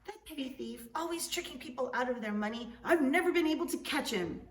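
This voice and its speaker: A woman's voice: high voice, expressive, fairly quick, voice pushed up. A woman voicing a pompous, gullible male Spanish colonial governor in a storybook, grand and exclamatory. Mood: exasperated. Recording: echoey room, distant mic, faint noise.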